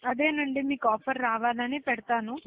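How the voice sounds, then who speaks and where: A woman's voice: high voice, fairly even intonation, moderate pace, no echo. Formal woman agent on a muffled phone line. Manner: formal, apologetic, repeats set phrases. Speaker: customer care agent. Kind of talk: scripted polite phone customer service. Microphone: telephone line.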